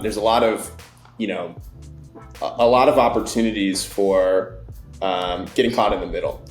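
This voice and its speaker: Low-voiced man in an echoey room. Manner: friendly, fluent pitch with fillers. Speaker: company representative guest. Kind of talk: casual interview answers.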